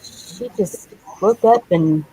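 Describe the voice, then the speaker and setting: A woman chatting casually in a halting way, often trailing off. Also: on the low side, mellow, slight background noise, rough recording. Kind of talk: casual chat.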